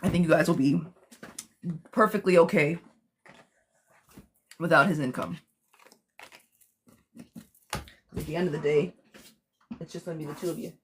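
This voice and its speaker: A woman with a hoarse, smoky voice, doing a chatty live tarot reading while sick with a cough. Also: sits low, unhurried, long pauses, slight background noise. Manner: chatty, reassuring, calls listeners girlfriend. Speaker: tarot reader.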